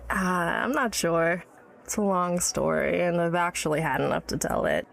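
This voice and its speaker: A woman's voice: lowish voice, normal speed, creaky. An actress playing an educated, tipsy, wry woman of early-1900s New York in a period audio drama, teasing and guarded. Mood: apologetic, reluctant. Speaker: storyteller recalling her childhood.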